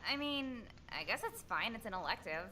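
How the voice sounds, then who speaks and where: Snarky popular teen for a visual novel: higher voice, crisp bright edge. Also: female voice, big pitch swings, fairly quick, a little hiss or hum. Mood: dismissive. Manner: dry, catty. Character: snarky, blasé teenage girl, popular student. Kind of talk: visual novel game voice acting.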